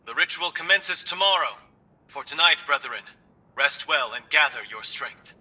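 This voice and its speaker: An actor playing a solemn military commander in a game cutscene, addressing his troops in formal, measured oratory. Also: fairly high pitch, lively intonation, pushed and bright, recorded from a distance, full character voice, poor audio. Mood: warm, rallying. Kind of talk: dramatic game cutscene speech.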